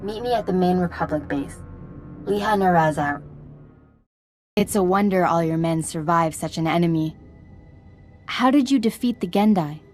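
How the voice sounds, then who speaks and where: A woman playing an earnest, composed Jedi ally in a video game, formal and measured in manner. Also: lowish voice, darkish tone, lightly acted. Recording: music behind the voice.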